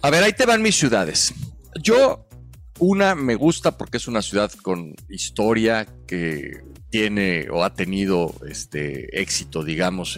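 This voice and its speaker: A man co-hosting a sports podcast, who explains his points in a measured way and teases his partner. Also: on the low side. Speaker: podcast co-host. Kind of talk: casual sports chat.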